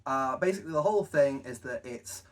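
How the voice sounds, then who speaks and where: A young man co-hosting a casual retrospective chat, halting and often repeating his phrases as he goes. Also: mid-range voice. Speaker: co-host, web series creator. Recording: distant from the mic.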